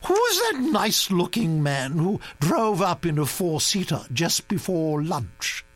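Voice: medium pitch, very animated, unhurried, dry recording, pitched above the performer's own voice. A reader voicing an imperious elderly duchess who talks in a commanding, theatrical way, for an audiobook. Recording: dry recording with mains hum.

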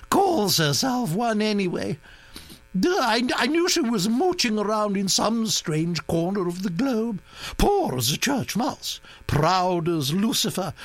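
A man's voice: high-pitched, no room sound, pitched above the performer's own voice, heavily acted, poor audio. A man playing a bossy old duchess. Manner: brisk, bossy and disapproving. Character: imperious elderly aristocratic woman, a duchess.

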